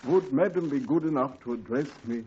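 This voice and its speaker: An actor playing a pompous, theatrical old stage actor who offers to work as a butler, speaking in a grand, stately way. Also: male voice, mid-range voice, brisk, warm tone, slightly rough, close to an everyday voice. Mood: grandiose. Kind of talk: 1940s radio acting. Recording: dull old radio broadcast.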